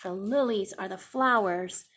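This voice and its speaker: A young woman teacher reading a picture book aloud for children in a classroom, pausing to explain words and pages. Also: medium pitch. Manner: slow, expressive storytime reading with explanations.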